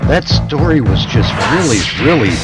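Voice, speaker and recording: A man's voice: medium pitch, lively intonation, normal speed, over loud music. A man giving casual, joking commentary as a guest.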